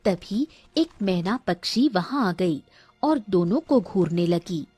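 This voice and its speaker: A woman's voice: mid-range voice, expressive, slow pace, darkish tone, breathy edge, no room sound, faint music behind. A woman storyteller giving scripted narration of a fairy tale.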